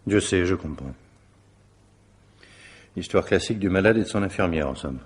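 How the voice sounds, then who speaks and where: A deep husky police inspector, super polite but probing, radio mystery drama. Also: male voice, expressive. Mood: inquisitive. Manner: formal, measured, insistent politeness. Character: courteous, probing, persistent police officer.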